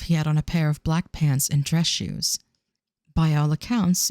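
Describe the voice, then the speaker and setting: A woman's voice: low-pitched, darkish tone, no room sound, clean studio sound. A woman narrating a scripted audio drama as a storyteller.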